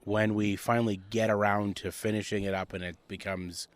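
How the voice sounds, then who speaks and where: A man's voice: lowish voice, level tone, a little fast, dry recording. A young man co-hosting a casual podcast with playful, joking asides, recorded outdoors on a porch. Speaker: podcast co-host. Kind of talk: casual chat.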